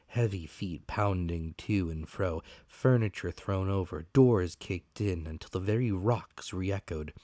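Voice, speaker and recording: Male audiobook narrator: low-pitched, dramatic scripted delivery, dry sound. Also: a little mellow, no echo.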